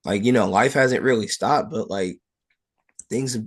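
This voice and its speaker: A young man with a fairly low voice gives casual, personal answers in an interview. He speaks as an athlete, haltingly, often padding his sentences as he finds the next thought. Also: slightly rough. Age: young adult. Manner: halting, frequent "like" and "you know". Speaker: wrestler being interviewed.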